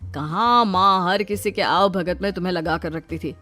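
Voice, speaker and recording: A woman playing young husband, cartoon story, lowered voice, teasing, reproachful, matter-of-fact, music behind. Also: moderate pace, bright, forceful tone.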